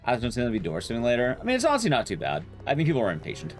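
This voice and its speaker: A young man with a loud, carrying voice chatting casually with his viewers while streaming a game, rambling and restarting sentences as he goes. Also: rapid-fire, faint music behind. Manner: rambling, restarts sentences, lively rises and drops in pitch. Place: his room at home, soundproofed.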